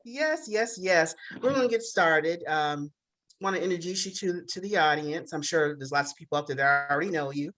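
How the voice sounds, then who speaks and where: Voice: mid-range voice, moderate pace, a bit bright. An upbeat host opening and running a casual interview, giving frequent short encouraging reactions.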